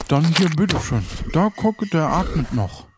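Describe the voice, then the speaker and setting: A fairly high-voiced male pirate, played by a man, courteous and bumbling. He stammers his way through improvised audio drama over faint background noise. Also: sing-song. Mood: hesitant. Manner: halting, stammering, polite.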